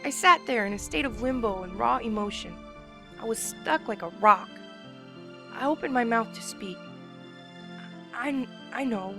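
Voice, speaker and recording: A woman's voice: on the high side, lively intonation, moderate pace, music in the background. A woman giving an emotional, earnest first-person dramatic reading of a story. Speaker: reader.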